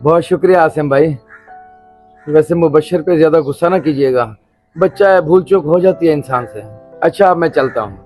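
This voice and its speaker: A man plays a humble neighbour in a moral audio drama. His voice is a little high, over faint music. He speaks politely and forgivingly, always keen to smooth things over. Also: a little mellow, close to an everyday voice. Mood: grateful. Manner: courteous, conciliatory. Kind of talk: Urdu moral-story audio drama acting.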